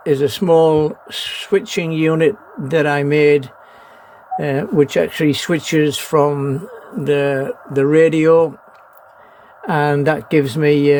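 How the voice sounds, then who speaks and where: A man gives a casual, unscripted show-and-tell in a halting, hesitant way, often pausing mid-sentence. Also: a little high, darkish tone. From New Zealand. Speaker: amateur radio operator, presenter. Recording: noisy amateur home video.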